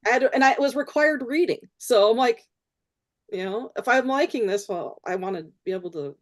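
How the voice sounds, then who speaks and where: A woman guest in a casual interview, speaking haltingly with frequent restarts and fillers. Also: on the high side, expressive.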